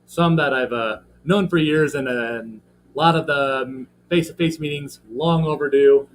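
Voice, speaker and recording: A man's voice: medium pitch, moderate pace. A man with a slightly scratchy voice, hosting a casual livestream and talking in a rambling way with lots of fillers while greeting viewers in the chat. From American Midwest. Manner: rambling, filler-heavy, friendly shout-outs to chat. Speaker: livestream host. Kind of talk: casual live stream chat.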